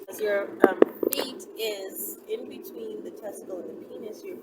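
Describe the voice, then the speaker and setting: A woman's voice: mid-range voice, moderate pace. A woman hosting a casual instructional vlog in a conversational, playful way, often checking in with tag questions. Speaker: channel host. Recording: very noisy, distant mic.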